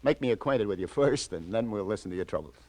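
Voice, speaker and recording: An actor playing a wisecracking, streetwise New York police lieutenant in breezy 1940s radio noir style. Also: male voice, on the low side, lively intonation, fast talker, darkish tone, dry recording. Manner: wisecracking, breezy, chuckling asides.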